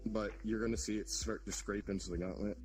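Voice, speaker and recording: A man's voice: lowish voice, steady tone, brisk. A man improvising in an online roleplay, narrating his own actions over voice chat. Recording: muffled narrow-band voice chat, music behind.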